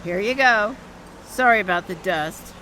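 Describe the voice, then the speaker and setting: A woman's voice: mid-range voice, animated, unhurried, pushed and bright, rough recording. A woman playing a dry, matter-of-fact baggage clerk in an audio drama. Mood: dry, helpful. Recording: faint ambient noise behind.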